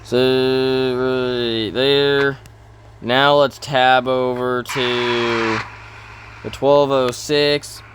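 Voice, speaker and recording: Man, mid-pitched flat voice, slow halting delivery, casual farming-game commentary, self-correcting, noticeable background noise. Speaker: gaming video host.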